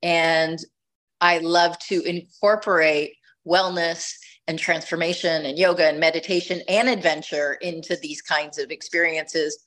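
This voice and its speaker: Reflective middle-aged woman, low voice. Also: fairly even intonation, unhurried, a bit bright. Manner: reflective, restarts phrases while thinking. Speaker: podcast guest, retreat leader. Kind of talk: conversational storytelling interview.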